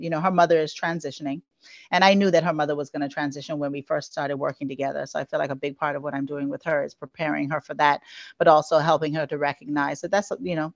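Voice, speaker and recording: A woman's voice: sits low, no echo, lo-fi sound. A woman from the Bahamas, a guest coach answering interview questions in an earnest, flowing way with many "you know" fillers.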